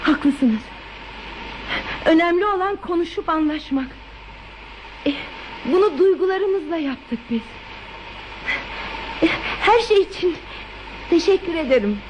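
A female actor playing a lonely, anxious, gentle woman in a radio play, speaking softly and hesitantly with earnest theatrical delivery. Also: extremely high pitch, lively intonation, takes it slowly, pauses often, background noise, full character voice. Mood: tender, reflective.

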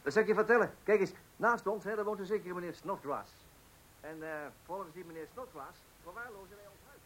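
A man's voice: sits high, brisk, fairly bright tone, a little hiss or hum, rough recording. An actor playing a hesitant, gullible suburban husband and father who stammers and repeats himself in a radio comedy play. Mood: chatty, hesitant.

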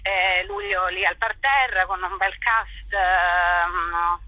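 A woman's voice: lowish voice, fairly even intonation, extremely slow, over loud music. A woman being interviewed by telephone, talking casually and hesitantly as she searches for her words. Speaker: actress, interview guest. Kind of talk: casual spoken interview over the phone. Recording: phone call to a radio show.

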